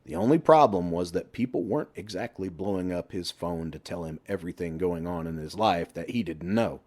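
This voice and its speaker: A man's voice: low voice, a little fast, a little mellow. A man reading a scripted story aloud as an audiobook narrator.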